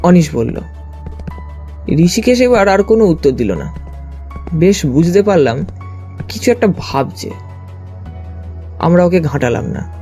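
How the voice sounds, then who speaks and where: A man's voice: sits high, big pitch swings, measured pace, long pauses, mellow. A man reading scripted first-person narration for a detective audio story. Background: background music.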